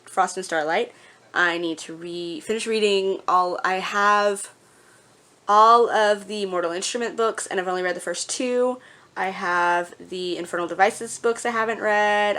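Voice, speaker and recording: Woman doing a casual vlog chat, kinda gushy and emphatic, normal mid pitch. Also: fairly bright tone. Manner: gushing, emphatic. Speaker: book vlogger.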